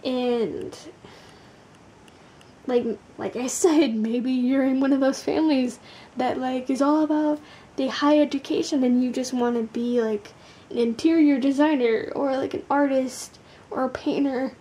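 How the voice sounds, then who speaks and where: Sleepy gravelly woman doing a tarot reading, blunt and sweary, kinda high voice, soft dark tone. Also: fairly even intonation, unhurried. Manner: blunt, swears casually, lots of fillers. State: tired. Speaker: tarot card reader.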